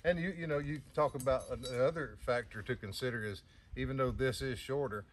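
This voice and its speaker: An older man with a plain, middle-pitched voice talks tools in a folksy, unhurried way. He is recorded outdoors among trees on a lapel mic. Faint noise sits behind him. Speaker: outdoors skills guest. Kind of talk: casual outdoor chat. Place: wooded yard outdoors.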